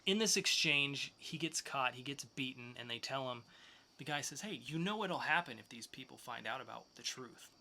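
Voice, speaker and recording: A man's voice: mid-range voice, expressive, normal speed, creaky, sharp tone, slight background noise. A man hosting a podcast, casually reviewing comic books with enthusiastic, loose, slangy asides. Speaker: podcast host.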